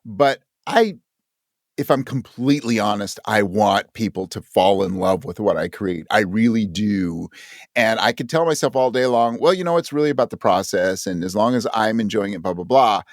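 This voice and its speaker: A man's voice: fairly low pitch, moderate pace, no room sound, clean studio sound. A man talking casually as an interview guest, candid and self-questioning, often acting out his own thoughts. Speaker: interview guest, audio drama creator. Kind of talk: casual conversation.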